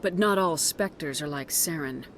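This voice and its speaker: A woman's voice: sits low, measured pace, slight background noise. A woman playing a blunt, assertive military commander in a video game, speaking in a direct, firm way. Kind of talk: video game dialogue acting.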